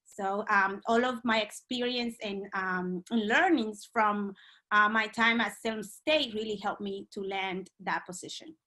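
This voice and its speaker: Bright-voiced woman, hesitant, from Dominican Republic. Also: medium pitch, steady tone, a little slow. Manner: hesitant, many ums and uhs. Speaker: guest. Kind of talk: remote podcast interview.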